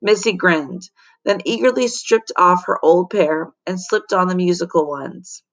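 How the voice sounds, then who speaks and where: A woman teacher reading a children's story aloud in an expressive storytelling style. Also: lowish voice, a little slow. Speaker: teacher reading to her class.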